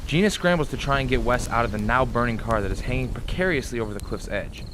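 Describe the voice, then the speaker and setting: A man's voice: medium pitch, animated, quick pace, noisy background. A man reading scripted dramatic narration as the narrator of a radio play. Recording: music behind the voice.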